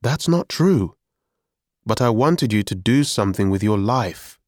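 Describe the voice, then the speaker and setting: A weary middle-aged dad played by a man in an audiobook story. He is firm and matter-of-fact, critical yet caring, and speaks at a slow pace. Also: fairly low pitch, expressive, dry recording, clean studio sound. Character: stubborn, tired, critical but caring middle-aged father.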